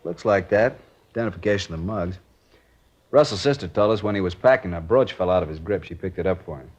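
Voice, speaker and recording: A low-voiced American detective in a 1950s radio drama. He is methodical and plainspoken, briskly clipping his sentences on a dull, aged recording. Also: darkish tone. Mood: measured, serious. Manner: clipped, matter-of-fact, drops subjects from sentences. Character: plainspoken, methodical male detective sergeant from out of town.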